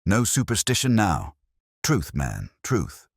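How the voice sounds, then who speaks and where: A man voicing a coolly precise, commanding detective in an audio story, quiet, intent and clipped. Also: lowish voice, wildly swooping pitch, takes it slowly, gravelly, breathy edge, dry recording, studio quality, voice pushed up, heavily acted. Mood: terse, commanding.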